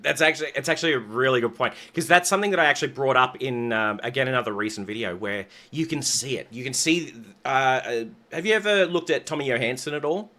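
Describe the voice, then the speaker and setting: A man hosting a casual interview, eager and agreeable, talking in quick bursts. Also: mid-range voice, bright, forceful tone. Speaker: host.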